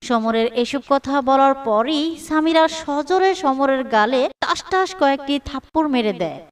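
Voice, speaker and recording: A woman's voice: sits high, distant mic. A woman narrator reading a scripted moral story for a cartoon.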